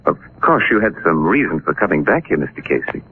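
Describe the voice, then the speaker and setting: An anxious, sorrowful older father in an old radio play. His voice is very low yet swings widely, formal and hesitant, through a muffled broadcast. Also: very animated, brisk, husky, full character voice. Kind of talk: 1940s radio drama acting. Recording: muffled, noisy 1940s radio recording.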